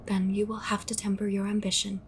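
A woman playing a grave, cryptic cult fighter in an audio drama, speaking in a measured, breathy way. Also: medium pitch, monotone, a little hiss or hum, close to an everyday voice. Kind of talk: dramatic audio roleplay acting.

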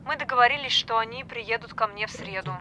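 An actress playing a chatty, apologetic young doctor who moonlights as a stripper, hesitant and informal in a naturalistic audio drama. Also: female voice, fairly high pitch, fast, pushed and bright, a little hiss or hum. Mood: chatty, frank.

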